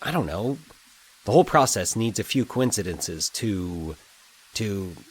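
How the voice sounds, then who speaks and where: A man voicing an anxious, excitable male character thinking aloud in halting, agitated bursts in an audio drama. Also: lowish voice, husky, poor audio. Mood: excited, grappling. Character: Mike, anxious excitable man puzzling out eerie coincidences. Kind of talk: dramatic audio fiction monologue.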